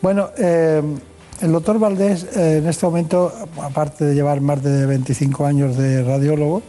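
Higher-voiced male radio host. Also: fairly even intonation, normal speed, warm tone. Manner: chatty, with frequent "eh" fillers. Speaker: radio health show host. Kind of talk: radio interview.

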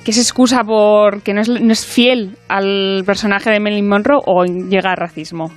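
A woman's voice: mid-range voice, steady tone, normal speed, faint music behind. A woman, a radio reporter, explains a story in a friendly, easygoing way. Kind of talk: casual radio chat.

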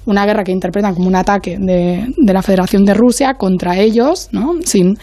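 A woman speaking as an academic guest on a panel, in a measured, analytical way, often searching for her next word. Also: mid-range voice, mellow, dry recording.

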